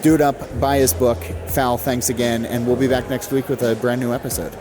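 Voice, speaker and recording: A man's voice: medium pitch, level tone, a little fast, over music. A man hosting a podcast, chatting casually with a guest and reading plugs at the close. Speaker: podcast host. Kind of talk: casual interview and show sign-off.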